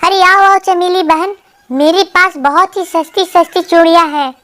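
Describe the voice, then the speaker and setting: A woman's voice: extremely high pitch, fairly even intonation, moderate pace, a bit bright. A woman voicing chirpy female birds, mother and daughter, in a sing-song, exaggerated childlike cartoon manner. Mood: friendly, persuading. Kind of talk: Hindi children's cartoon voice acting.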